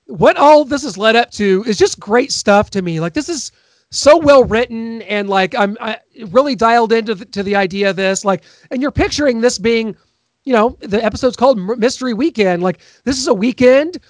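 A man's voice: extremely high pitch, expressive, moderate pace, dry recording. A man co-hosting a podcast, eagerly recounting a TV episode's plot in casual chat. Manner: enthusiastic, recounts plot in detail. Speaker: podcast co-host.

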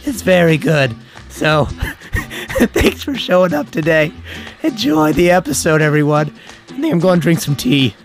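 A hoarse man opens his podcast in a loose, chatty way, laughing as he goes. His voice sits fairly high. Music plays in the background. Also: very animated, moderate pace. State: hoarse from illness. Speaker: podcast host. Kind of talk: casual show intro.

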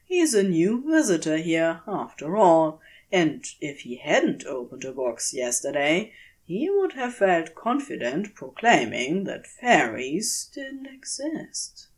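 A woman reading a story aloud as a scripted fiction narration, with measured, expressive storytelling. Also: low voice. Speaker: podfic narrator.

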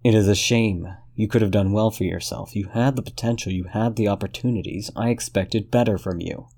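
A man voicing a cold, controlled detective who speaks in a measured, menacing way. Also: on the low side, darkish tone.

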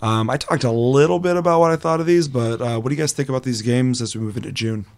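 A young man with a hoarse, raspy voice, hosting a casual gaming podcast with chatty, self-deprecating humor. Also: medium pitch, quick pace. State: hoarse, recovering lost voice. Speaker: podcast host.

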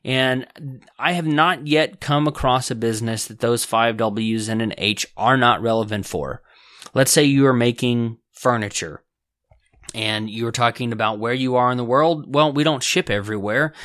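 A man hosting a business podcast, explaining website setup in a casual, conversational way with folksy asides and quick self-corrections. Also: mid-range voice, a bit bright, no room sound, clean studio sound. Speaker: podcast host and business author. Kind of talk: casual instructional podcast talk.

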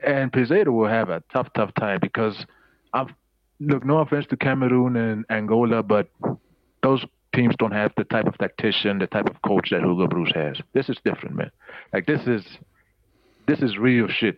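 A man talking football with friends in casual chat, excitable and emphatic, swearing freely. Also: fairly low pitch, normal speed, dry recording. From South Africa. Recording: dry, dull lo-fi audio.

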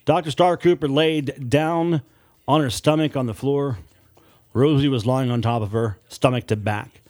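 A man reading scripted horror fiction as an audiobook narrator, in a dramatic, deliberate storytelling manner with weighted pauses. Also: medium pitch, a little mellow.

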